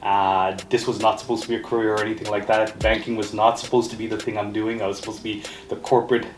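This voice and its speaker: A man's voice: fairly low pitch, little pitch movement, fast, a little hiss or hum. A man from Trinidad who grew up in Canada, giving earnest, rambling interview answers peppered with "you know" and "right?". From born in Trinidad, raised in Canada from age eight. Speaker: interview guest, mortgage brokerage owner. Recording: roomy echo, music playing behind. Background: music.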